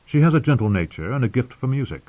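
Casting: smooth-tongued noble envoy acted by a male audiobook reader, formal, insistent, courteous; thin phone-like recording. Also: medium pitch, sing-song, fast, voice pushed up. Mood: courteous, measured. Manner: formal, diplomatic, politely insistent.

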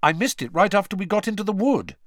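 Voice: medium pitch, big pitch swings, quick pace, no room sound, clean studio sound, pitched above the performer's own voice, lightly acted. A narrator doing an earnest teenage boy.

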